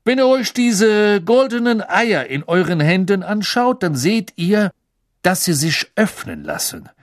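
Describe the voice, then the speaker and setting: A man's voice: sits high, very animated, a little slow, no echo, clean studio sound, voice pushed up. A man voicing a jovial, showy sports official in an audiobook, addressing young champions with emphatic showmanship. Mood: jovial, eager.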